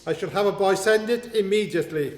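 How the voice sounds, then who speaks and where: A man's voice: sits high, brisk, a little mellow, close to an everyday voice. A man playing a besotted, flustered prince and henpecked husband in a comic radio play. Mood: discreet relief. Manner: fawning, flustered. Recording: echoey hall, faint music behind.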